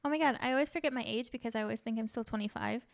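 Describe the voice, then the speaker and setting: A woman's voice: on the high side, racing, gravelly, no room sound, clean studio sound. A woman in her twenties from Chicago, hosting a casual music podcast in a bubbly, giggly, slangy way. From Chicago, Illinois. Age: 20s. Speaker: podcast host.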